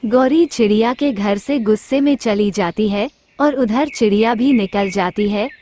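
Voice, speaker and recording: A woman's voice: medium pitch, unhurried, mellow, slight background noise. A woman narrating a simple children's cartoon story.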